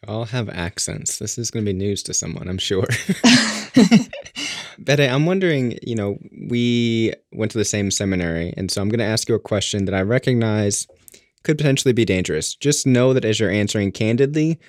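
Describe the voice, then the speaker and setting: A man hosting a podcast interview, asking careful, gently framed questions. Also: fairly low pitch, a little fast, no echo, studio quality.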